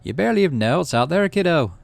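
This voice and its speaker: A man reading a game's dialogue aloud and voicing a gentle, anxious, protective father. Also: fairly high pitch, big pitch swings, very fast, vocal fry, voice pushed up. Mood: worried. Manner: pleading, soft.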